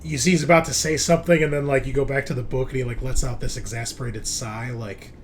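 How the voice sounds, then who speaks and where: A man's voice: mid-range voice, fairly even intonation, quick pace. Man game-mastering, some background noise. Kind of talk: improvised tabletop game narration.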